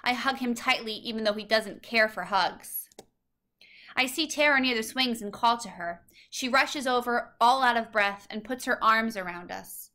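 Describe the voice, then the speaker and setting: A woman with a bright, fairly high voice reads a children's storybook aloud. Her narration is scripted and expressive, each phrase set out clearly for young listeners. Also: moderate pace. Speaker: storybook reader.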